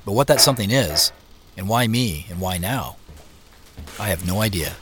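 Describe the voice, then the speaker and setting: A man's voice: fairly low pitch, lively intonation, moderate pace, background noise, natural voice. An actor playing a reflective, curious American man in his forties, narrating a family mystery in scripted first person. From Seattle, United States. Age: 40s.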